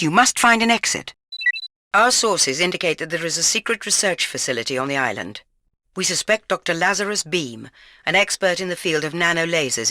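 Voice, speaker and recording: An actress playing a stern, commanding older British intelligence chief in a video game, speaking in a formal, clipped, authoritative way. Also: female voice, fairly low pitch, bright, forceful tone. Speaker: intelligence chief giving mission orders. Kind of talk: video game mission briefing lines.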